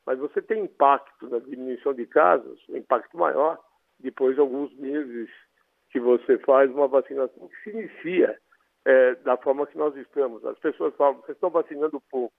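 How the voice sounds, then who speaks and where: A man with a Brazilian Portuguese accent, an infectious disease doctor calmly explaining in a radio interview by phone. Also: mid-range voice, normal speed, vocal fry, no room sound. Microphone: telephone. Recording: tinny phone line.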